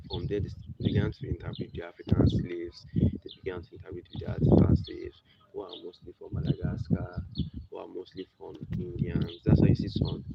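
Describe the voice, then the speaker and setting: A man's voice: sits low, vocal fry. A young man with a Nigerian accent, rambling through a casual explainer monologue with many restarts and asides. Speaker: video presenter. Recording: muffled lo-fi recording with background noise.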